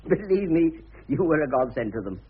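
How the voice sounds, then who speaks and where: An actor in a radio play voicing a glib, pushy press agent, a smooth-talking man who speaks with brisk emphasis. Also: on the high side, very animated, heavily acted. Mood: brisk, teasing. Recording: muffled, tinny old radio drama recording.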